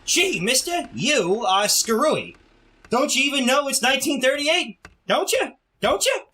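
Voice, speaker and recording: An actor playing a brash, cheeky young street newsboy in a retro radio drama, slangy and given to hawking. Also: male voice, very high voice, big pitch swings, measured pace, pushed and bright, heavily acted. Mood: cheeky, puzzled. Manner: hawking singsong cries, slangy.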